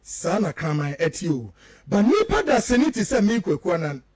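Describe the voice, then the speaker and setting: A man's voice: sits high, very animated, moderate pace, crowd noise. A Ghanaian man giving emphatic, repetitive political commentary as he switches between two languages, full of rhetorical turns.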